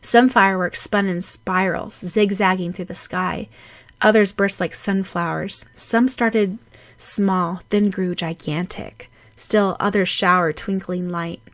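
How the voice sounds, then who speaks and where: A woman narrating fan fiction as an audiobook, with a dramatic, animated delivery. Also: medium pitch, slow pace, no room sound.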